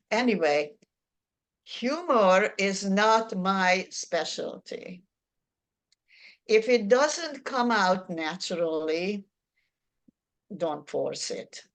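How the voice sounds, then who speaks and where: An older woman from Hungary with a Hungarian accent, presenting a workshop and answering audience questions in a chatty, self-deprecating way. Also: mid-range voice.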